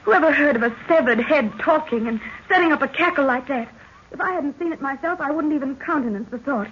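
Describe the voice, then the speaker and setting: A woman acting a high-strung young professor's daughter, theatrical and anxious, in an old-time radio melodrama. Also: a little fast, fairly bright tone. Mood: agitated. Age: young adult. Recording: muffled, hissy old radio recording.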